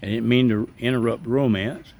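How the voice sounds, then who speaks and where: A man's voice: medium pitch, fairly even intonation, moderate pace, gravelly, darkish tone, a little hiss or hum. A middle-aged man chatting casually as he vlogs his walk through an outdoor park, rambling with plenty of ums and asides. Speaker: vlogger and street evangelist. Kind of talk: casual walking vlog narration.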